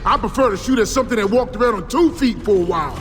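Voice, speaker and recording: An actor playing a whiny, brash, wisecracking young soldier in a video game cutscene, jittery in manner. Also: male voice, high-pitched, lively intonation, fast, a bit bright, long reverb tail, a little hiss or hum. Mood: sarcastic griping.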